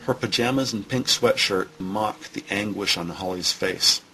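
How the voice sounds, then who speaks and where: A man's voice: on the low side, moderate pace, gravelly, pushed and bright, slight background noise, poor audio. A man reading scripted narration for an audio drama.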